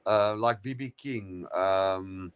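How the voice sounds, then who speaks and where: A man's voice: deep voice, takes it slowly, smooth. Chatty man, rambling. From South Africa. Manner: rambling, full of fillers and restarts, says "brother". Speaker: guest. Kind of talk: casual chat on a live stream.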